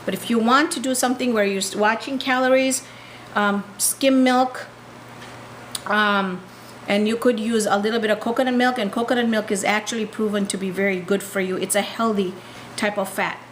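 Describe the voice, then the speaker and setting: Female home cook from East Africa, mid-pitched, casual and enthusiastic demo delivery, roomy sound with faint noise. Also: fairly even intonation, normal speed. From Tanzania, East Africa. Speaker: home cooking show host. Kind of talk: casual cooking demonstration. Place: indoor kitchen. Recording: echoey room with faint background noise.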